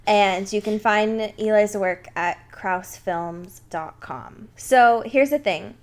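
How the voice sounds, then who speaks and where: A woman's voice: mid-range voice, takes it slowly. A young woman co-hosting a podcast, chatting casually and comedically in an irreverent, slangy, sweary way. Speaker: podcast co-host.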